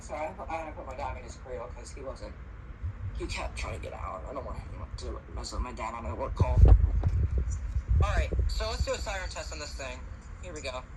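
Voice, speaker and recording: A young man chatting casually and informally in slangy speech as he demonstrates weather radios. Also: medium pitch. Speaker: hobbyist unboxing weather radios. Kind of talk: casual chat. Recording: loud steady background noise.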